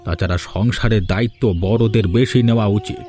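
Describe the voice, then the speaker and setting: A man's voice: fairly low pitch, a little fast, mellow, natural voice. A man voicing a weary, reproachful old father-in-law in a Bengali cartoon. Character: grumbling old father-in-law scolding his wife. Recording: music behind the voice.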